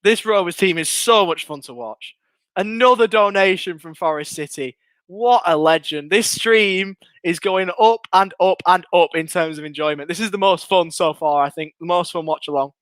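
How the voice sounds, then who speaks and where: Excitable young English man, high voice. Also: normal speed, no echo. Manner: excitable, chatty, reads out viewer comments. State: losing his voice. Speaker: fan watchalong host and commentator. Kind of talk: live watchalong commentary and chat.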